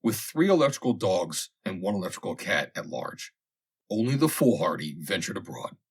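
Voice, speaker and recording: A man reads a comic vintage story aloud as scripted narration, in a measured, dramatic storytelling manner with deliberate pauses. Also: deep voice, big pitch swings, slightly rough. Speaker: audiobook reader.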